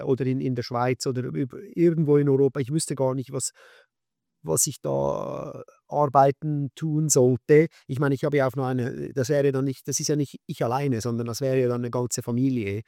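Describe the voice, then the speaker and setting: A middle-aged man with a Swiss German accent, talking casually in an interview and often pausing with fillers and restarts. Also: mid-range voice, gravelly, warm tone, no echo, clean studio sound. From Switzerland. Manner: hesitant, many fillers and restarts. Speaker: guest, skate shop owner and magazine maker.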